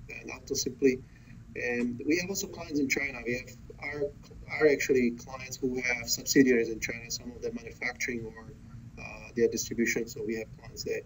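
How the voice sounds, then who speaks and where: A man's voice: medium pitch, rough recording. A man talking casually on a business call, hesitant and restarting his phrases, often adding "you know". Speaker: prospective business partner.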